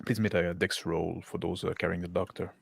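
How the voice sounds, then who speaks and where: A man narrating a tabletop roleplaying game as game master, improvising haltingly. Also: low voice, flat delivery, creaky, noisy background.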